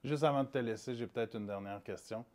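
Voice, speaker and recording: A man's voice: mid-range voice, fast, a little mellow. A man interviewing a guest, asking questions in a friendly, brief way. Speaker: interviewer, organization CEO.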